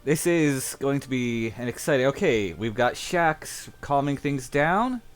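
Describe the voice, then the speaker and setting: A man hosting a livestream, introducing the show casually in short, measured phrases. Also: mid-range voice, animated, clean tone. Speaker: livestream host.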